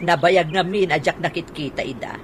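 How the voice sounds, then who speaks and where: A woman acting a cheerful, lovestruck older widowed aunt in an Ilocano radio comedy drama, with a giggly, animated manner. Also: fairly low pitch, unhurried. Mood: cheerful, smitten. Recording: radio drama with clear background noise.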